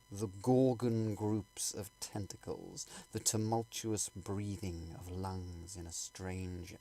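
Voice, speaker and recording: A man reading a classic novel aloud as a dramatic audiobook, with theatrical, suspenseful and deliberate phrasing. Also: low-pitched, dark tone, a little hiss or hum, poor audio. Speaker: audiobook reader.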